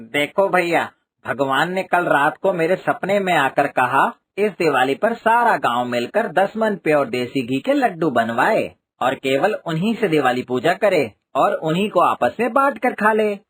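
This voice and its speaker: An actor playing a superstitious, excitable village temple priest in a cartoon moral story, blustering and scolding. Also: male voice, fairly high pitch, measured pace, slightly rough, heavily acted. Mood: solemn warning.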